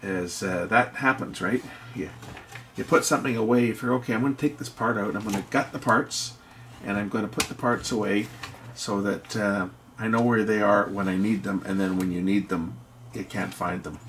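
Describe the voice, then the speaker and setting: A middle-aged or older man giving casual, unscripted repair commentary, rambling and halting as he thinks aloud. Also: lowish voice, steady tone, noisy background. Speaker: electronics repair video host.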